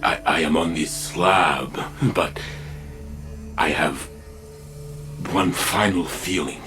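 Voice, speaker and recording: An actor playing a weary, passionate robot warrior in a video game, speaking in a halting, theatrical way. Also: male voice, rumbling low, sharp tone, faint music behind, exaggerated voice. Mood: anguished, tender. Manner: deliberate, halting, theatrical delivery. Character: weary, passionate robot warrior recalling his own death. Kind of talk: dramatic video game voice acting.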